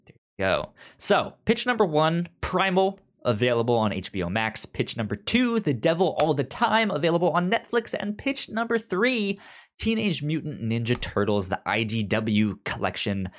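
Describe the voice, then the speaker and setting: A man's voice: on the high side, very animated, moderate pace. A man with a stutter hosting a podcast, talking conversationally and partly reading out summaries. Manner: stutters, repeating sounds and words. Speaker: podcast host. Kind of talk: conversational pitching of media picks, partly reading synopses.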